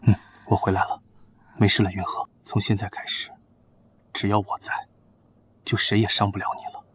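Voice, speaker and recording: Calm protective god-husband; low, husky, soft reassurance. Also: male voice, moderate pace. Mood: tender.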